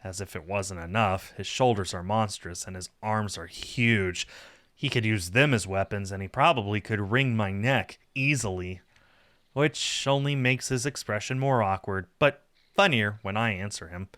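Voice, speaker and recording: A man reading a visual novel game aloud as a streamer, with expressive narration and casual asides. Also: on the low side, moderate pace, no echo.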